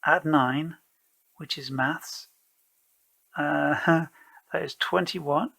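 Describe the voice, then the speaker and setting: Guy playing a solo rpg, rambling and self-deprecating, bright voice, kinda gravelly, takes his time. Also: a little high, fairly even intonation, drawn-out words. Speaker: solo role-player narrating his game. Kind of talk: casual tabletop game narration.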